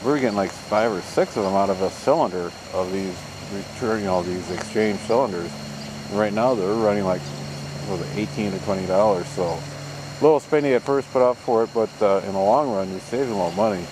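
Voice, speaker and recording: A man's voice: lowish voice, a little fast. An American man giving a rambling, folksy, casual vlog narration, recorded outdoors on a handheld camera. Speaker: vlogger. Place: outdoors at a campground. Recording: outdoor camcorder with ambient vehicle noise.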